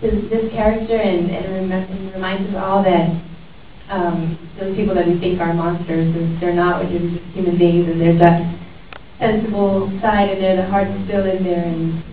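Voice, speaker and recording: Actress from puerto rico gushing on a panel, halting and giggly, sounds far off in a big echoey hall with a crowd murmuring. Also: female voice, lowish voice, slight background noise. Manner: excited, halting, laughs between phrases. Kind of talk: panel Q&A answer. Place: large hall with an audience. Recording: far-off echoey hall, dull audience recording.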